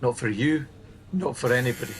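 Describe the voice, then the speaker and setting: A man's voice: medium pitch, lively intonation, a little fast, a little hiss or hum, natural voice. A man playing an earnest, tactful but insistent supervisor in a naturalistic radio play. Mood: firm. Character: earnest, firm male supervisor of a helpline team.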